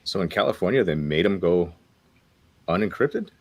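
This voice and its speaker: A man's voice: low voice, lively intonation, moderate pace, husky. A man in casual chat, putting in short questions and remarks as a panelist. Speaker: podcast panelist asking questions.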